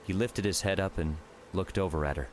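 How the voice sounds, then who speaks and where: A man's voice: low voice, brisk, slight background noise. A man reading scripted fiction narration as an audiobook narrator. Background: music.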